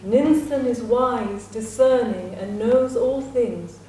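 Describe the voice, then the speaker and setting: A woman's voice: fairly high pitch, takes it slowly, warm tone, distant mic, slight background noise. A woman narrating a dramatic reading of an ancient epic. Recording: very echoey hall, voice from afar, faint ambience.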